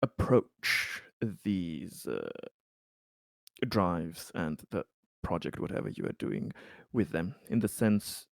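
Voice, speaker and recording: Male RPG player, low register, very slow hesitant delivery, improvised roleplay, dry close sound. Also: sing-song, gravelly, no room sound. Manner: hesitant, halting, deliberate phrasing. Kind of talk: improvised in-character dialogue and table talk.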